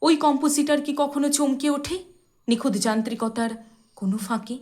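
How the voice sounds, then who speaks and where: A woman giving a dramatic poetry recitation in a measured, expressive way, with deliberate pauses and phrase endings that fall softly. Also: sits high.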